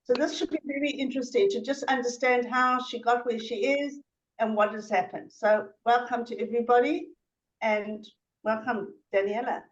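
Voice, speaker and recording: A woman hosting an interview as a coach, introducing her guest and asking plain, measured questions. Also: on the high side, steady tone. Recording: dull lo-fi sound.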